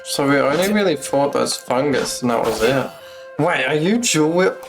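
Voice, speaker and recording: A man's voice: fairly high pitch, lively intonation, normal speed, over music. A man casually commentating on live gameplay as a streamer, blunt and sweary. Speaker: game streamer.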